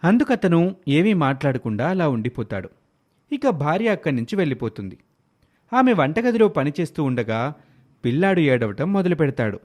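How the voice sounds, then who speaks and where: A man's voice: on the high side, sing-song, moderate pace, a little mellow. A man narrating a scripted moral story.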